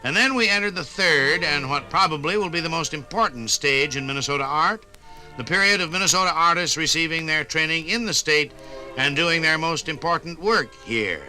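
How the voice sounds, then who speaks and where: Fifties radio documentary guy, slightly high voice, formal and measured, music playing behind him. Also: expressive, pushed and bright, poor audio. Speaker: narrator. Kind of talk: scripted 1950s radio documentary narration.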